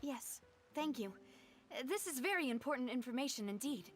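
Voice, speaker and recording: A young female leader from a game, earnest, guarded and a little proud. Her voice is on the high side with animated intonation, formal phrasing, and soft music behind it. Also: moderate pace. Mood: thoughtful. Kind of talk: video game dialogue.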